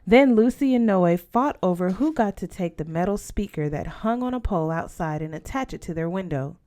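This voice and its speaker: Woman reading a story, lowish voice. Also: lively intonation, fairly quick, dark tone. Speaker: audiobook reader. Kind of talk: scripted narration, reading a children's novel aloud.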